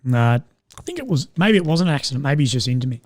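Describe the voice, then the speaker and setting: Young man, strained croaky voice, rambling casual chat, frequent swearing, dry recording. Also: medium pitch, big pitch swings, fast, dry recording. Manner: rambling, swears often, laughs at own jokes. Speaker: live stream host. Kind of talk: casual live stream chat.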